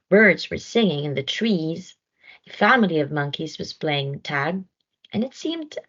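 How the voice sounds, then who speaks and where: Lady reading a kids story, low husky voice, really animated, bit muffled recording. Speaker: storybook reader. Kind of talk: expressive children's read-aloud narration.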